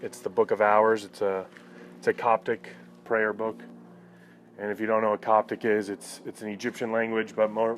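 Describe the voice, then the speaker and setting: Low-pitched man, flat casual delivery. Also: a little hiss or hum. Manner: conversational, reflective, frequent "you know". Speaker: vlogger. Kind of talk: casual personal talk to viewers.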